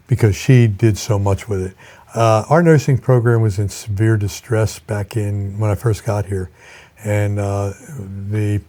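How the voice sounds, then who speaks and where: An older man speaking as a college president in an interview, reflective and measured, with halting pauses. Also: on the low side, mellow.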